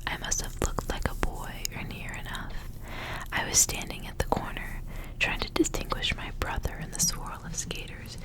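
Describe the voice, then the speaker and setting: A woman whispers her way through a story in an ASMR style. Her voice is breathy and entirely hushed, and she phrases each line softly and deliberately. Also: rough recording. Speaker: ASMR reader. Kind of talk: ASMR storybook reading with casual asides.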